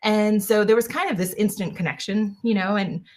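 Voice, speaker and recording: A woman's voice: medium pitch, fairly even intonation, normal speed, a little mellow. A woman guest on an interview podcast, answering in a chatty way with many fillers and restarts. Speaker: guest, engineer.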